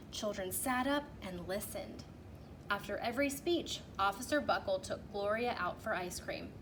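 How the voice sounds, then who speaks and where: A woman reading a children's storybook aloud in an animated, emphatic way, drawing out key phrases. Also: medium pitch. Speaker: teacher reading a picture book aloud. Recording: clearly noisy background.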